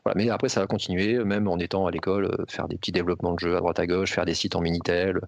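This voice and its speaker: A man in his forties, a software developer guest, answering hesitantly with many pauses and repeated words. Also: deep voice, level tone, gravelly. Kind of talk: casual interview answers.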